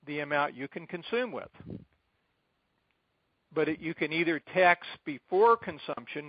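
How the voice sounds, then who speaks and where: Gravelly American man on a dull call line. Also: fairly high pitch, no room sound. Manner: deliberate, halting phrasing with emphatic repetition. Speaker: advocacy group president addressing supporters. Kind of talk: informal briefing on a conference call. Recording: dull, band-limited conference call line.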